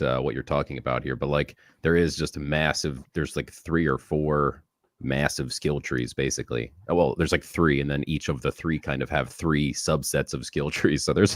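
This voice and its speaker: Very deep, stuffy-nosed male guest. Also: dry recording, clean studio sound. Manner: easygoing, laughs often. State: stuffy from a head cold. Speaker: guest streamer. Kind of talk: casual chat while playing.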